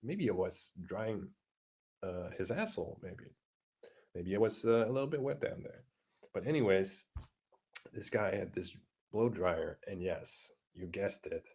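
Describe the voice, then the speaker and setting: A man with a deep voice ranting crudely and bluntly, keeping his voice down at home, halting with many fillers and restarts. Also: expressive, breathy edge. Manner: keeps his voice down, talking quietly; crude, blunt, heavy swearing. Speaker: vlogger. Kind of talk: casual profane rant. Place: home room with neighbors through the walls.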